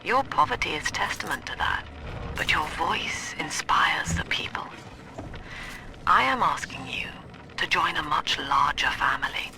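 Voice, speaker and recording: An actress playing a cold, composed cult leader and psychiatrist villain in a video game, speaking in grave, precisely articulated, preaching monologues. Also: female voice, sits low, big pitch swings, a little slow, creaky, whispered, heavily acted, rough recording. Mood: calm persuasion.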